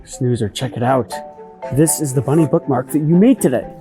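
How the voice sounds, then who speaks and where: A woman's voice: rumbling low, normal speed. A woman presenting an educational children's show in an explanatory, encouraging manner. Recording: music behind the voice.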